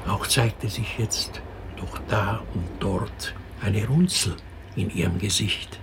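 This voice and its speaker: A man's voice: fairly low pitch, slight background noise. An actor playing a man who tells a child a melancholy fairy tale in a lingering manner, in a radio play.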